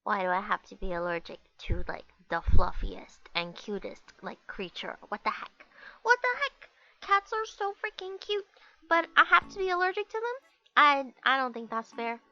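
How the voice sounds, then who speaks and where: A woman's voice: on the high side, sing-song, moderate pace, sharp tone. A young woman with a stuffed-up, nasal voice, chatting casually and rambling with lots of fillers while she draws. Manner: bubbly, rambling, many fillers. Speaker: artist chatting while drawing. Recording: dull lo-fi recording.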